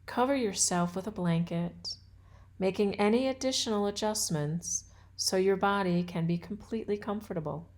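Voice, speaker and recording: A woman guiding a yoga nidra relaxation as an instructor, with soothing, measured and deliberate phrasing. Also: mid-range voice, a little mellow. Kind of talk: guided meditation and relaxation instruction.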